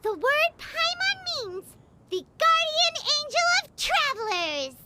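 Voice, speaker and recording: A chirpy tiny fairy companion. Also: female voice, extremely high pitch, heavy background noise, exaggerated voice. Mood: bubbly. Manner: chirpy, fast and excitable. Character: tiny female fairy companion, chatty and eager.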